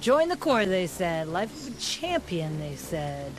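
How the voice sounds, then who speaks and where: A swooping, brash marine trooper. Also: male voice, sits high, big pitch swings, measured pace. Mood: sardonic. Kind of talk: military video game voice acting. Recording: noisy battlefield, gunfire and rain.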